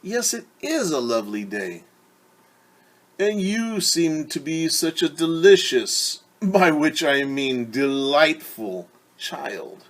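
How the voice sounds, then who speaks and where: A sly, hungry storybook wolf, as a man reads him, drawing out his words slowly. He is silky and falsely polite. The voice is husky and breathy. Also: on the high side, very animated, voice pushed up, exaggerated voice. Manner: slow, oily and menacingly polite. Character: sly, smooth-talking hungry male wolf.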